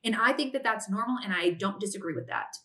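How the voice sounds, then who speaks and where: A woman's voice: medium pitch, quick pace, pushed and bright. A woman in her late twenties hosting a podcast, giving casual advice in a chatty way, often using "like" and "if that makes sense". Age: 20s. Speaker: podcast host.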